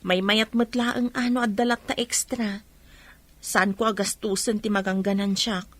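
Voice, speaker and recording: A woman playing a patient, soft-hearted village peddler in an Ilocano comedy radio drama, speaking with an Ilocano accent. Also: mid-range voice, level tone, moderate pace, no room sound, close to an everyday voice. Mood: easygoing.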